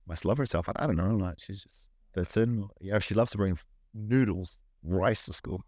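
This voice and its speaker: Deep-voiced New Zealand man, swearing casually. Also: normal speed, a little mellow, dry recording, clean studio sound. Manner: swearing, rambling, repeats words. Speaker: podcast guest. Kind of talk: casual chat.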